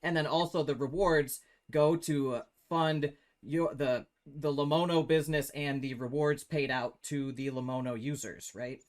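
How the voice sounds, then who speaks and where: A man's voice: fairly high pitch, steady tone. Upbeat male interview host. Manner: upbeat, encouraging, drawn-out questions. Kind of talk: casual online interview.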